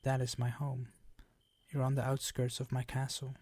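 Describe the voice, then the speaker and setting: An ageless, predatory vampire count, played by a man for an intimate ASMR roleplay. He speaks in a controlled, fairly even tone, close and dry, with formal courtesy that turns condescending and quietly menacing. The creak in his voice sits under every teasing phrase. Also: mid-range voice, moderate pace, dry recording, lightly acted. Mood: smooth, condescending.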